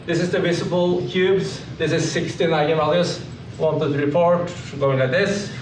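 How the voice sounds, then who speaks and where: A man giving an informal technical slide presentation, explaining in a halting way. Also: fairly high pitch, steady tone. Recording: echoey room, distant mic, clearly noisy.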